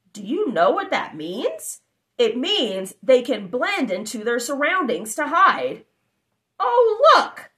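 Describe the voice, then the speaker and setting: Lively high-voiced woman reading a kids' book. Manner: animated, exclamatory, child-directed with asides. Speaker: storybook narrator. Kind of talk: reading a children's picture book aloud. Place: living room, indoors.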